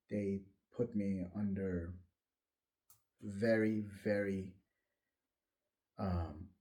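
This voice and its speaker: Soft, mellow man telling his story. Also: low-pitched. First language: not English. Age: late 40s. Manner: hesitant, candid, reflective. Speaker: vlogger. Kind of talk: personal life story told to camera.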